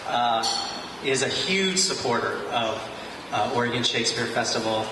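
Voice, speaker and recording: A wealth advisor giving an informal welcome speech at an evening event, pausing on fillers. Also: male voice, mid-range voice, lively intonation. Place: large echoey venue, a car showroom. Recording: echoey hall from far off, noisy.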